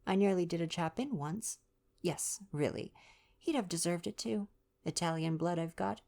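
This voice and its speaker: A woman's voice: lowish voice, moderate pace, vocal fry, warm tone, voice pushed up. A woman voicing a cheeky, slangy young American-born actress and acrobat in a read-aloud novel. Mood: playful, bold. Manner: slangy, brash, playful.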